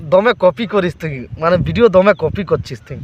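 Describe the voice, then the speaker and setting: A high-pitched male voice with a Purulia Bengali accent, chatting casually as a prank caller. He needles the other person and circles back to the same points. The sound is dull and lo-fi, with clear noise behind him. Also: a little fast. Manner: needling, repeats accusations. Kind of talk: casual teasing phone chat.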